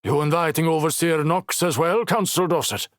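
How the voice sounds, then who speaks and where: A man's voice: on the high side, normal speed, no room sound, studio quality, voice pushed up, close to an everyday voice. A man voicing a loyal foreman who banters and stands up for his friends. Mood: bantering.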